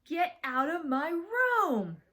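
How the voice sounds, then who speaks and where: Lady doing a bossy mad little girl for a kids audiobook, high n dramatic, pitch all over. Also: lively intonation, a little fast. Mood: indignant, shouting. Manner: shouty, indignant, dramatic. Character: indignant, hot-tempered young girl narrating heroine. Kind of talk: children's audiobook character acting.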